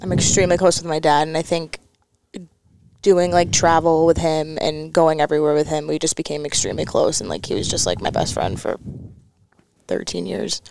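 A young woman from New Hampshire, a college softball catcher, answering in a relaxed, hesitant way with many likes and ums. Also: sits low, a little fast, vocal fry, slight background noise. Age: young adult. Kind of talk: interview answers.

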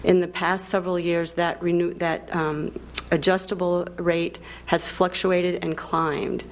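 A woman answering questions at a board meeting in a careful, slightly hesitant way, correcting herself as she goes. Her voice is on the low side. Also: little pitch movement, unhurried. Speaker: district staff member.

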